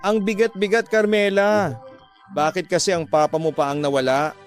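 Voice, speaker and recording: A man's voice: high voice, steady tone, moderate pace, soft background music, voice pushed up, natural voice. A man voicing a grieving widowed mother in a radio drama, wailing and pleading in despair.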